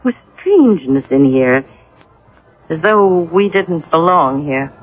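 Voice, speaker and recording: An actress playing a gentle, uneasy older housewife in a 1980s radio drama, speaking hesitantly. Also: female voice, sits low, animated, slightly rough, heavily acted. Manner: hesitant, halting. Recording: narrow-band vintage radio broadcast.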